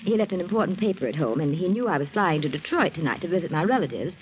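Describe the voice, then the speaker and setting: A poised American wife in a 1940s radio drama, all false politeness and veiled insinuation. Her lowish voice rises and falls animatedly as she schemes. The old recording is muffled, with some noise. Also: big pitch swings, fast. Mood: calculating, polite. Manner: genteel, pointed, insinuating.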